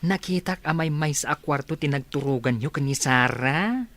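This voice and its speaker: An actress playing a concerned, questioning friend in an Ilocano radio drama, probing and giving advice. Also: female voice, low-pitched, normal speed. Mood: curious.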